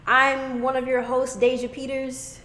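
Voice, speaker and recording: A woman's voice: sits high, monotone, a little slow. A young woman co-hosting a casual podcast chat, upbeat and affirming as she leads the questions. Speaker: co-host. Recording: roomy echo with faint noise.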